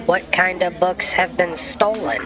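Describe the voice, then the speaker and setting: A woman voicing an eager, polite skeleton detective reporter in a children's radio drama, deliberate and theatrical. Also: fairly low pitch, a crowd in the background, close to an everyday voice. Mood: determined.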